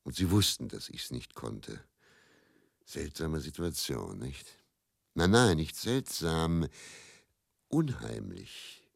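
An actor playing a bitter, world-weary travelling faith healer and quack in a radio play monologue, musing and theatrical. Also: male voice, rumbling low, big pitch swings, slow, creaky, pauses often, no echo, clean studio sound, exaggerated voice. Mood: haunted, intense. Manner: brooding, musing, repeats words, theatrical shifts between murmur and outburst.

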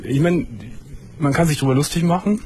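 A man hosting a late-night call-in show from a studio, asking calm, probing questions and giving short replies as he listens. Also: fairly high pitch, fairly quick, slight background noise. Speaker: radio and TV talk show host.

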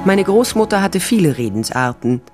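A woman's voice: on the low side, sing-song, moderate pace, music in the background. An actress playing a tough, wry female private investigator in a German radio crime drama, blunt and dry. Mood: wry, reflective. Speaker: narrator and lead actress.

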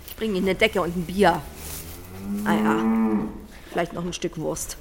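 A woman's voice: lowish voice, very animated, normal speed, breathy edge, exaggerated voice. An actress playing a hardy, lonely farm woman in a German audio drama, blunt and plain-spoken. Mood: brusque, taunting.

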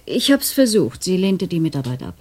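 Guarded lady scientist in a sci-fi radio drama, soft mellow tone but lots of pitch movement, measured. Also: sing-song. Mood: guarded, wary. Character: composed, guarded woman doctor, a scientist. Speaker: doctor. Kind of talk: German science fiction radio play acting. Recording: faint background noise, early-1990s radio drama.

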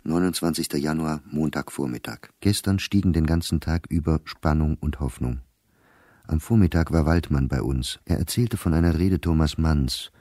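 An actor playing a sober, weary male diarist in wartime, reading his entries in a measured, deliberate way for a radio play. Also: extremely low pitch, mellow, no room sound, studio quality. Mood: grave, matter-of-fact. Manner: measured, deliberate, clipped diary phrasing.

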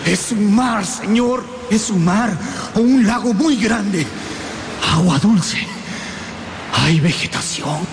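An expedition companion talks extremely slowly in a fairly high voice. He sounds halting and full of wonder, as in a radio play, with clear wave noise around him. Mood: amazed. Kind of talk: radio drama acting. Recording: loud sea-wave noise behind the voice.

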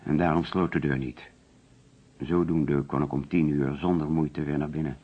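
A man's voice: extremely low pitch, fairly even intonation, moderate pace, husky. An actor playing an anxious, defensive insurance agent under suspicion in a radio play, hesitant and flustered as he protests. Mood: nervous, hesitant. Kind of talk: Dutch radio play acting. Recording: dull, lo-fi old radio recording.

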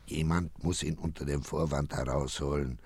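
An actor playing a cold-blooded, condescending gang boss in a radio satire, deliberate and menacingly calm. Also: male voice, rumbling low, slight background noise. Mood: scheming. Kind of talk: 1950s German radio satire acting.

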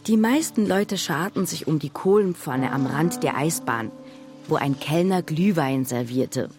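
An actress playing a grown woman who recalls her girlhood in measured, literary radio drama narration. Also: low voice, animated, darkish tone. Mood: reflective, composed. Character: adult female narrator recalling her girlhood, composed storyteller. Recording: studio radio drama with music.

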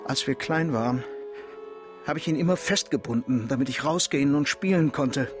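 An actor playing a guilt-ridden, volatile criminal making an intense, coarse confession in a radio drama. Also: male voice, mid-range voice, normal speed, over music, close to an everyday voice. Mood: guilty, haunted.

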